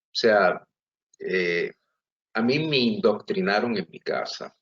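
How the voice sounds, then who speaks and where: Puerto rican guy talking slow and earnest, kinda dull muffled audio. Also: fairly low pitch, fairly bright tone. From Puerto Rico. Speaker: guest author. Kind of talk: reflective interview talk.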